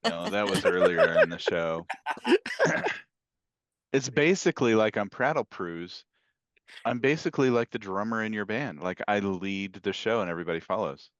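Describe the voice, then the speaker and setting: Male podcast host, fairly deep voice with very animated intonation, joking through a casual chat. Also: moderate pace, dry recording. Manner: jokey, breaks into bits of song.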